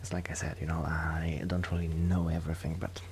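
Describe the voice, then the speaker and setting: A man with a very low voice talks softly and intimately in non-native English, as if keeping a friend company in an ASMR role-play. He is hesitant and modest, often sinking toward a whisper. There is clear noise in the recording. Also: flat delivery, a little fast. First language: not English, a second-language speaker. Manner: soft-spoken, often dropping into whispers, hesitant. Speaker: ASMR performer playing a musician friend.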